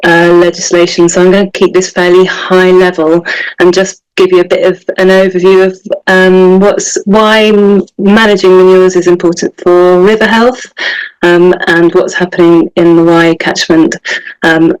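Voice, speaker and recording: A woman catchment advisor presenting in an online webinar over a video call, measured in delivery. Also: on the low side, level tone, clear, resonant tone, low-quality recording.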